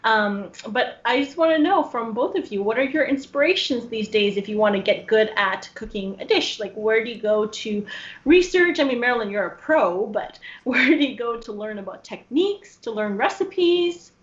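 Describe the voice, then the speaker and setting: A woman's voice: fairly high pitch, expressive, moderate pace, echoey room, lo-fi sound. A woman co-hosting a casual chat show over a video call, friendly and inquisitive. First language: Cantonese as second language. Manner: friendly and inquisitive, laughs lightly. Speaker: co-host.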